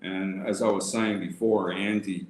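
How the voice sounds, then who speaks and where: Low-voiced man in an echoey room. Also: fairly even intonation, measured pace. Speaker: historical society president presenting a paper. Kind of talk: informal livestream lecture with read passages. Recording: roomy, echoing livestream audio.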